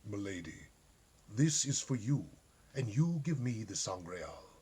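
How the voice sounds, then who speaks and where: A man's voice: on the low side, big pitch swings, a little slow, mellow, slightly rough, voice pushed down, exaggerated voice. Man acting a young, solemn, courtly knight. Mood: earnest pleading. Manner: formal, grandiose, solemn. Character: young knight, earnest, noble, courtly quester. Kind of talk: storybook character dialogue.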